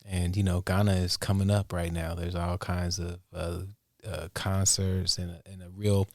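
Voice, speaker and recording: A man from the United States with an American accent, talking casually and haltingly as an interview guest. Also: low-pitched, little pitch movement, dry recording, studio quality. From Portland, Oregon, United States. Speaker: interview guest, music producer and inventor. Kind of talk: casual conversational storytelling.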